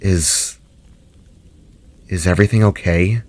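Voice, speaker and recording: A man voicing an earnest, caring male spark, a desperate father in a fantasy story, with a halting, gentle delivery. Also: sits low, voice pushed down. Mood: gentle concern.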